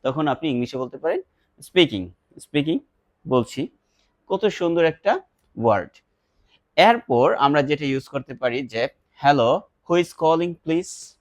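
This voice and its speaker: A man's voice: on the high side, animated, no room sound. Bangladeshi man teaching English, dry close sound. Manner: instructive, repeats example phrases slowly and emphatically. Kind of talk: spoken English lesson with example phrases and translations.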